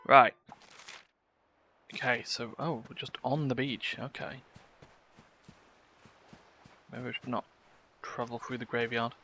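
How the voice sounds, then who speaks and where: A man's voice: mid-range voice, flat delivery, unhurried, bright, forceful tone. A man streaming a video game, giving casual live commentary and muttering asides to himself and his chat. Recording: game music behind the voice. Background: video game music.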